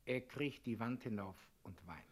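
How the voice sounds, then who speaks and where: A male actor in a German radio play, playing a grim, morosely obedient prison warder who reports in a blunt, deadpan way. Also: medium pitch, moderate pace, lightly acted. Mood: grim, terse.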